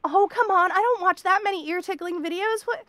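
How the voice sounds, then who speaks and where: A woman's voice: very high-pitched, a little fast, fairly bright tone, dry recording. A woman voicing a shy young bunny girl roommate in a romantic comedy audio roleplay, stammering and protesting in a flustered way. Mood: flustered, indignant.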